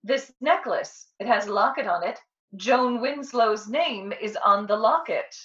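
An actress playing a detective's sharp-witted, teasing wife in a 1940s-style radio drama. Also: female voice, a little high, measured pace. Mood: curious. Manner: arch, teasing. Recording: dull, distant old radio recording.

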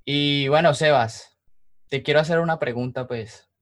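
A man's voice: a little high, moderate pace, fairly bright tone. Colombian man narrating an informal podcast. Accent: Colombian, from Cali. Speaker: podcast host.

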